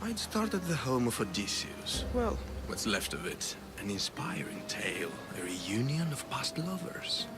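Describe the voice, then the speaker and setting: An actor playing a wealthy, shady schemer in a video game, speaking in a measured, cryptic and condescending way. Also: male voice, medium pitch, very animated. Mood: businesslike, sly. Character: shady wealthy male schemer, smooth, calculating and haughty. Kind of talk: dramatic video game dialogue. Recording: music playing under the dialogue.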